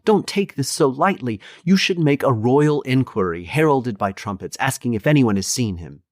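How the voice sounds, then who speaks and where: A man's voice: sits low, fairly quick, no echo, clean studio sound, natural voice. A man voicing a passionate, anxious young noblewoman in a storybook, pleading and emotive. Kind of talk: dramatic storybook reading.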